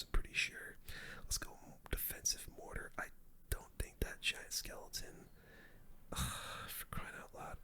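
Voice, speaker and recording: A person giving whispered ASMR commentary on a game, calm and breathy, muttering a running play-by-play close to the microphone. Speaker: gaming streamer.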